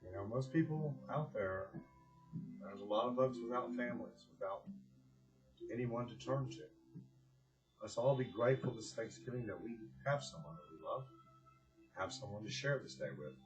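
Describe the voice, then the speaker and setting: A man's voice: medium pitch, normal speed, long pauses, low-quality recording. Earnest man presenting, music behind. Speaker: director of a community organization, presenter. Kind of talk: heartfelt address and storybook read-aloud.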